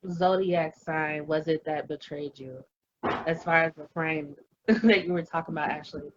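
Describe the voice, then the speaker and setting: A woman's voice: low voice, steady tone, moderate pace, muffled recording, slight background noise. Giggly woman in her late twenties. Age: late 20s. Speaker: guest. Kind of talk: casual group video call. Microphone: video call.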